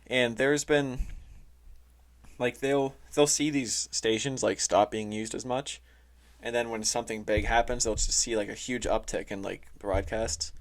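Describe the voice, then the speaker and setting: A man explaining things casually as a podcast host, halting and informal, often saying "like". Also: medium pitch, fairly even intonation. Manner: informal, halting, heavy use of "like". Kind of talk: casual explainer talk reading from notes. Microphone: close, touchy microphone.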